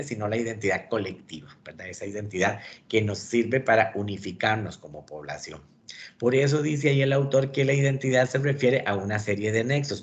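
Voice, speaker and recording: A man with a Costa Rican accent giving an online literature tutoring lecture, explaining patiently and often checking in with "¿verdad?". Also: lowish voice. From Costa Rica. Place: online meeting recording. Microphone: computer mic in a video call.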